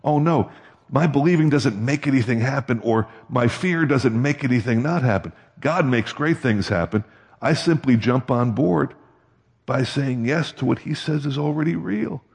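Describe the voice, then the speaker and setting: A man's voice: medium pitch, moderate pace, creaky, a little mellow. A man giving a sermon in a conversational way, often checking in with the congregation with questions. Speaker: pastor. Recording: long hall reverb.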